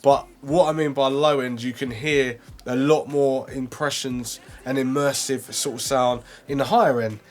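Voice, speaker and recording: A man's voice: mid-range voice, fairly even intonation, moderate pace, few pauses. A young man reviewing earphones in a casual, rambling way, full of fillers and restarts. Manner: rambling, self-correcting, lots of fillers. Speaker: product reviewer.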